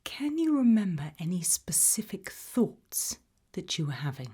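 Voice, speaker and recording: A British woman speaking gently and reassuringly, mostly in a whisper, as an interviewer in an ASMR roleplay. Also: fairly low pitch, big pitch swings, measured pace, breathy edge. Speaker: ASMR roleplay host playing an abduction investigator.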